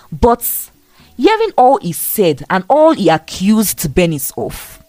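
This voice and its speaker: A woman's voice: deep voice, no room sound. A woman telling a scripted folktale as a dramatic storyteller.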